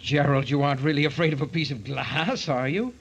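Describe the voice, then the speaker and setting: A man's voice: a little high, a little fast, a little hiss or hum, close to an everyday voice. An actor playing a suave, morbidly fascinated man in 1940s radio mystery style, urbane, theatrical and teasing. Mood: mocking, sinister. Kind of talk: 1940s radio mystery acting with first-person narration. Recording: dull old radio drama with music.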